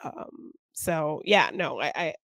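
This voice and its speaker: A woman's voice: sits low, animated, slow pace, a bit bright, no echo, clean studio sound. A woman co-hosting a film podcast, talking casually and conversationally.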